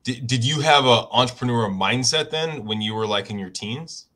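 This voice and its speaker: A man's voice: mid-range voice, moderate pace, sharp tone. A man interviewing a guest, asking casual, probing questions.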